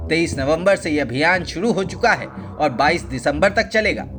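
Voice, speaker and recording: A man narrates a scripted news explainer with a formal, expository delivery. His voice sits slightly higher than most male voices. Music plays clearly behind him throughout. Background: background music.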